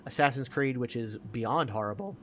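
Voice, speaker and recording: A man's voice: medium pitch, normal speed, a bit bright, slight background noise. A man in his forties chatting casually as a podcast co-host, opinionated and emphatic, repeating himself to make a point. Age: 40s. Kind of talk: casual chat about movies.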